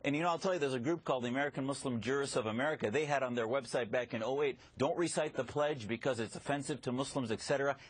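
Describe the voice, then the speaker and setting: A man whose first language is Arabic joins a live news segment as a guest commentator. He makes his points firmly and emphatically, his voice a little husky. The sound is dry, with no room echo. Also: mid-range voice, quick pace, few pauses. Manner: emphatic, argumentative.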